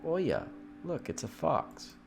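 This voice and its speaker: A man's voice: on the high side, wildly swooping pitch, slow pace, creaky, music in the background, pitched above the performer's own voice, exaggerated voice. A man voicing an earnest, insistent young boy in a visual novel. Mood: eager, insistent.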